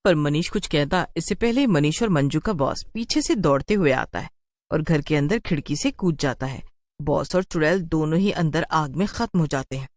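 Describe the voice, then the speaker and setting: A woman storyteller reads a scripted horror cartoon tale in a low-pitched voice. The recording is dry and close, with little room sound. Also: lively intonation, moderate pace, darkish tone, no echo. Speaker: story narrator.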